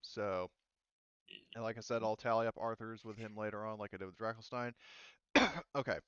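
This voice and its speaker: A man chatting casually as a game master, explaining things in a halting, conversational way. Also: lowish voice, fairly even intonation, fairly bright tone, clear, resonant tone, no echo, dull audio. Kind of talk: casual chat, running a tabletop game session.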